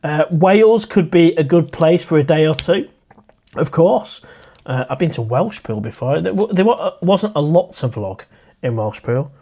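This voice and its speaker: A man's voice: a little high, expressive, moderate pace, warm tone. Chatty man hosting a livestream. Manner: chatty and friendly, addresses viewers as mate. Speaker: livestream host. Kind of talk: casual live chat reading viewer comments.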